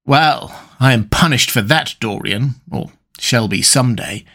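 A man's voice: mid-range voice, a little slow, a bit bright. A man reading a classic novel aloud, voicing an earnest, morally shocked older painter who speaks with pained, emphatic reproach. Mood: sad.

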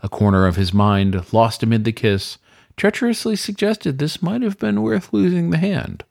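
Animated male storyteller, dry sound. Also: fairly high pitch, normal speed, creaky, dry recording. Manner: expressive, storytelling. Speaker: story reader. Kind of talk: scripted narration, dramatic audiobook reading.

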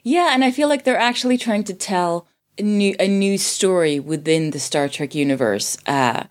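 A woman's voice: mid-range voice, animated, normal speed. A woman co-hosting a film podcast in casual, conversational talk. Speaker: podcast co-host.

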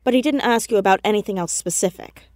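A woman's voice: medium pitch, fast talker, a little mellow, lightly acted. A woman playing a stubborn, inquisitive teenage girl sleuth in an audio drama, earnest and probing in a casual, argumentative way.

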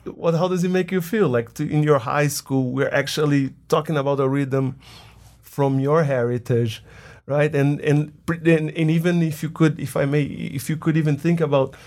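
A man's voice: a little high, fairly even intonation, moderate pace, a little mellow. A man who leads a drumming program, speaking in an interview conversation and posing rhetorical questions to a guest. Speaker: music program leader.

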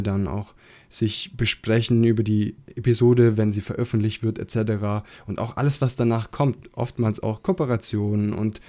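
A man's voice: lowish voice, a little mellow. A man from Italy who speaks German with an Italian accent, a podcast host talking in an earnest, musing way. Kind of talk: conversational podcast talk.

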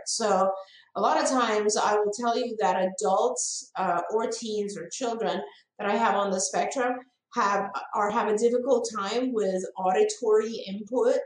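A woman's voice: medium pitch, a little mellow. Woman, non-native English speaker, earnest, thoughtful, roomy echoey sound. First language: not English. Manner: earnest, thoughtful, frequent restarts. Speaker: ABA program director. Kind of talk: live-stream Q&A answer. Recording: roomy echo.

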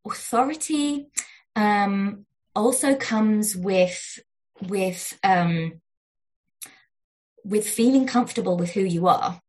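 A woman guest in an interview who talks in a thoughtful, searching way. She describes her own voice as squeaky and little, fills her speech with fillers and restarts, and often checks in with "right?". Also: takes it slowly, pauses often, a little mellow. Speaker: guest, negotiation researcher. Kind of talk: conversational interview.

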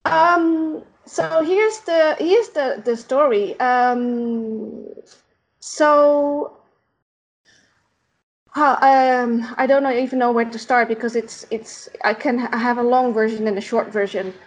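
A Dutch woman with a Dutch accent, a podcast guest who speaks in a halting, thoughtful way while searching for words. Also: on the high side, low-quality recording. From Netherlands. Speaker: podcast guest, founder of an organization. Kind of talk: casual interview conversation.

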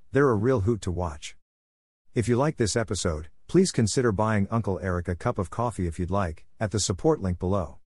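A man with a low voice presents as a series host. He reads scripted introductions and sponsor copy in a dry, close sound free of room echo. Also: darkish tone. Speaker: host of a classic radio series.

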